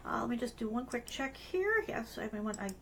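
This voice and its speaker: A woman's voice: on the high side, sing-song, a little fast, gravelly, a bit bright, a little hiss or hum. Chatty woman hosting a career livestream. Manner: chatty, rambling, with many fillers and restarts. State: voice strained from allergies. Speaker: host of a live career webcast. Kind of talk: casual live-stream talk with screen sharing.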